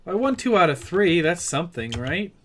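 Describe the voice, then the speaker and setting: A man's voice: high-pitched, big pitch swings, normal speed, a bit bright. A man giving casual let's play commentary with wry, offhand asides. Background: game music.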